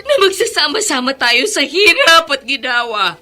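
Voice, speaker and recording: A woman's voice: high-pitched, big pitch swings, moderate pace, bright, forceful tone, faint music behind, full character voice. An actress playing an anguished, fiercely protective mother in a melodramatic radio drama, with emotional delivery. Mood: tearful pleading. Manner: emotional, pleading and scolding. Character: anguished, fiercely protective mother who runs a small eatery.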